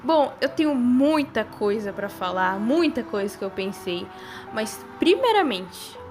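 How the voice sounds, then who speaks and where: High-pitched young Brazilian woman, casual commentary. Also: sing-song, unhurried, a little mellow. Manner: informal, speculative, conversational. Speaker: video creator. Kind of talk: casual horror series commentary and theorizing. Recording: voice-over with music behind it. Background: background music.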